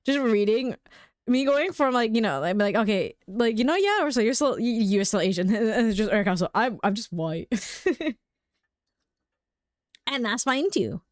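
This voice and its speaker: A woman's voice: fairly high pitch, expressive, moderate pace, no room sound, studio quality. A young woman streaming a game at home, chatting casually with viewers, laughing often and filling her talk with "like". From United Kingdom. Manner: chatty and giggly, many "like" fillers.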